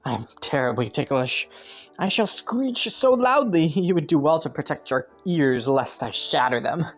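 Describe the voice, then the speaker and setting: Young prince female performer: low voice, courtly and calculating yet easily flustered, intimate roleplay addressed to the listener, music underneath. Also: expressive, unhurried. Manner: formal courtly phrasing with flustered stammers.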